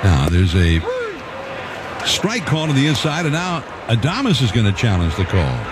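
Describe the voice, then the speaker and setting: A male play-by-play announcer calling a baseball game in a conversational, measured way. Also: background noise. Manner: conversational, measured, calls pitches with rising excitement. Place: stadium broadcast booth.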